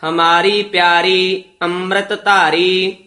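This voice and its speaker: A man's voice: sits high, little pitch movement, slow pace, sharp tone. A man reciting Sikh scripture as a student, in a chanted devotional style.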